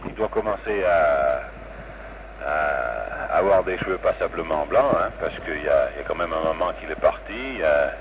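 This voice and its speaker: Deep-voiced middle-aged man over radio static. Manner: unhurried, hesitant, folksy. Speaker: radio amateur operator. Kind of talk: casual on-air radio chat. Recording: noisy 1960s AM radio reception.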